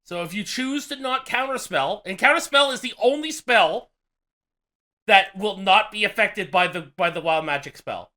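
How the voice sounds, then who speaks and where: A man running a tabletop game, explaining his house rules haltingly, with many restarts. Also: high-pitched, animated, sharp tone. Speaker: game master.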